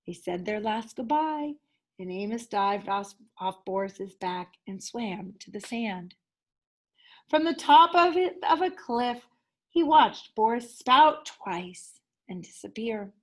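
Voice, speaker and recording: A woman's voice: on the high side, animated, takes it slowly. A woman teacher reading a children's story aloud expressively, leaning dramatically on key words.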